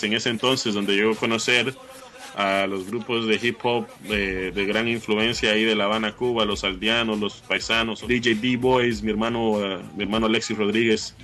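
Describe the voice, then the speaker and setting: Man, crisp bright voice, relaxed intro over soft music. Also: on the low side. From Guatemala. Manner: relaxed, listing names with fillers. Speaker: presenter introducing a track. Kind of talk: casual spoken introduction.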